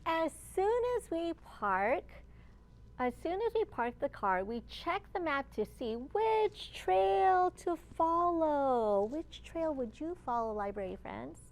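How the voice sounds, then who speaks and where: Woman, high-pitched, slow and deliberate, children's storytime read-aloud, librarian addressing little listeners. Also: big pitch swings.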